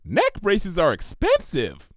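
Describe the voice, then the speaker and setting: A man voicing a bouncy, excitable, scatterbrained young female pony who rambles and exclaims naively in a cartoon fan fiction reading. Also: high voice, slow pace, no echo, studio quality, full character voice. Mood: laid-back, chatty.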